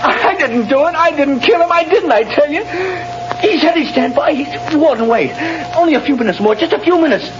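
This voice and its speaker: A man's voice: extremely high pitch, expressive, brisk. An actor playing a terrified condemned man in an old radio drama, pleading frantically and repeating himself. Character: terrified condemned male prisoner pleading for his life. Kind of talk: 1930s radio drama acting. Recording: dull vintage radio broadcast with music.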